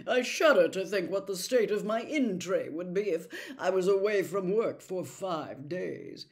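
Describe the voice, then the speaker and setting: Woman putting on a pompous young man, loudly prim. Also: on the low side, expressive, measured pace. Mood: sanctimonious. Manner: sanctimonious, emphatic.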